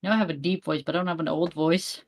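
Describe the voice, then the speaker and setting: A woman's voice: sits low, fairly even intonation, fast talker. A woman voice actor in casual chat, chatty and self-deprecating, often laughing at herself. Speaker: fan voice actor.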